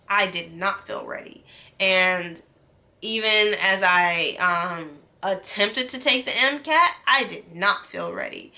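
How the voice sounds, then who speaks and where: A woman's voice: lowish voice, bright, forceful tone. A woman in her late twenties, a medical student, talking earnestly to camera in a casual vlog, with ums and restarts. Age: 20s.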